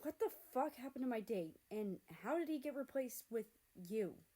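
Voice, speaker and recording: A woman's voice: a little high, lively intonation, moderate pace, dark tone, slightly rough, pitched above the performer's own voice. A woman voicing an angry, flustered young man in a story reading, defensive and exasperated. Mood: angry, confused.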